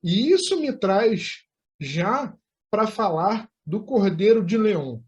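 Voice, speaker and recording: A Brazilian man hosting an interview, speaking formally in measured, wordy, articulate phrases. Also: high-pitched, darkish tone. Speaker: interview host.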